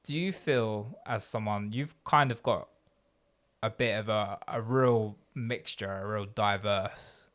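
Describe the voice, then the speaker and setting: A man's voice: on the low side, slow, fairly bright tone. A man hosting a podcast interview who asks thoughtful, rambling questions and often restarts his sentences. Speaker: podcast host. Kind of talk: conversational interview.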